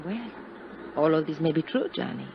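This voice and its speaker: Scheming, pleading femme fatale, very animated. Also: female voice, sits low, moderate pace, gravelly. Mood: defensive. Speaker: actress in a radio detective serial. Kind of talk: 1950s radio drama acting. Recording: muffled, noisy 1950s radio recording.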